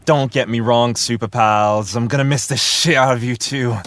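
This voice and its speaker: A man's voice: mid-range voice, steady tone, moderate pace, a bit bright, dry recording, close to an everyday voice. A man playing a flamboyant, self-absorbed actor with a pompous, gushing, theatrical manner, in a comedic audio drama. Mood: elated, grandiose.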